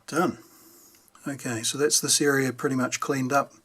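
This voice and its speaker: A man's voice: medium pitch, steady tone, normal speed, fairly bright tone, breathy edge. A man giving casual hands-on repair commentary, thinking aloud as he works. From New Zealand. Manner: unscripted, thinking aloud while working. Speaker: hobbyist radio repairer presenting a how-to video.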